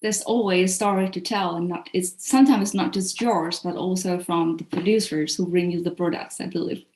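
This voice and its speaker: A woman's voice: lowish voice, steady tone, moderate pace, darkish tone. A woman from Vietnam, speaking candidly and earnestly as a webcast host in casual interview talk. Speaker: culinary association staff member and webcast host. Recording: echoey room sound.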